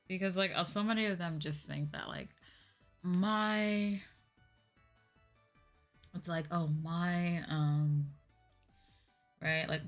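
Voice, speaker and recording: A woman streamer chatting casually and candidly to her viewers in a rambling, conversational way. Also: sits low. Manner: conversational, candid, ranting with swearing. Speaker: streamer and VTuber host.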